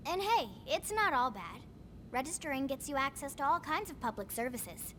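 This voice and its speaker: A high, youthful female voice for an eager, geeky video game character. She is informal, bubbly and chatty. Faint ambient noise sits behind her. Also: big pitch swings, moderate pace, fairly bright tone. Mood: chipper. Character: excitable, geeky young female mech enthusiast, eager and chatty. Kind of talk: English video game cutscene acting.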